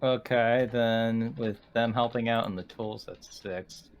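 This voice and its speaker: Man, average pitch, casual game chat, tabletop player. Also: fairly even intonation, takes it slowly, highs cut off, a little hiss or hum.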